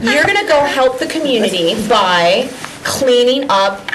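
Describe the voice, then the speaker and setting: A woman's voice: a little high, expressive, measured pace, background noise. A woman hosting a local TV talk show in a small studio, chatting and teasing young guests playfully. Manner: playful, teasing, jokes with kids. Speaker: host.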